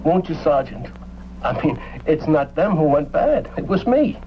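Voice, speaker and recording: An actor playing a middle-aged ex-serviceman turned thief, plaintive and folksy, with an American accent and halting speech. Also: a little high, big pitch swings. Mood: apologetic, earnest. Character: remorseful, humble ex-serviceman thief devoted to his dogs. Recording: dull old broadcast, faint noise.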